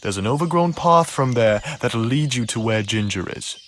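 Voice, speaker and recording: An actor playing a guarded, evasive medieval charcoal burner in a video game, speaking in a wary, hedging way. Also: male voice, mid-range voice, animated, moderate pace, a bit bright, a little hiss or hum, close to an everyday voice. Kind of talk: video game cutscene dialogue.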